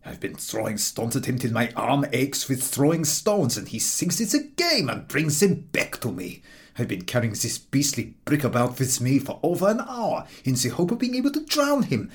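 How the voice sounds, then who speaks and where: Guy reading as a fed up tired traveller bloke, moaning with scorn, pitch all over the place, audiobook. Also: wildly swooping pitch, normal speed. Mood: contemptuous, exasperated. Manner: aggrieved, contemptuous complaining. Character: exasperated weary traveller saddled with a stray dog. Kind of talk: audiobook dialogue.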